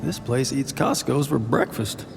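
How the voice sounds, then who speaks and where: An actor playing a breezy, curious commanding officer with a casual, jokey manner in an audio drama. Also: male voice, medium pitch, unhurried, gravelly, big-room sound, over loud music. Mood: amused.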